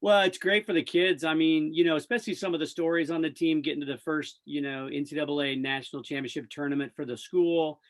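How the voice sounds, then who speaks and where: A man's voice: fairly high pitch, steady tone, fast talker, few pauses. A man, a radio play-by-play announcer, answering casually as an interview guest.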